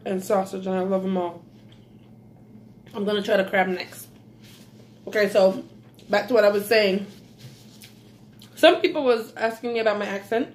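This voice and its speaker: Belizean lady in her 30s doing a mukbang, talks real slow, lots of mm's between bites, small room at home. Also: medium pitch, a little mellow. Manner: slow, savoring, with frequent mm's while eating. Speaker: mukbang vlogger. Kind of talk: casual eating vlog.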